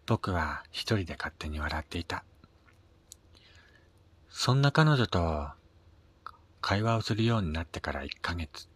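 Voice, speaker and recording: A man with a husky voice narrating a scripted first-person radio drama, his phrase endings trailing into a breathy near-whisper. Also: deep voice, animated, a little slow, long pauses, a bit bright. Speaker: reader of own short story.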